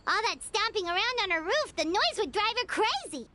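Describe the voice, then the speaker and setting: A woman voicing a tiny, excitable fairy companion girl in a video game, childlike, bossy and cheeky. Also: extremely high pitch, normal speed, full character voice. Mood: chirpy. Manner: childlike, exclamatory, speaks of self in third person.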